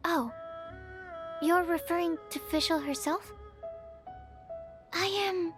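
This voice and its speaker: A woman's voice: very high voice, fairly even intonation, takes it slowly, music in the background. An actress playing a shy, bookish young female alchemist in a video game, earnest and awkward, speaking in hesitant, precise, formal phrases. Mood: curious. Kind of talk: video game character voice lines.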